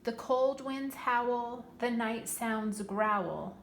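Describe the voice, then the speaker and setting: A woman reading a picture book aloud to young children like a preschool teacher, with deliberate, dramatic storybook emphasis. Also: on the high side. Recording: roomy echo, light background noise.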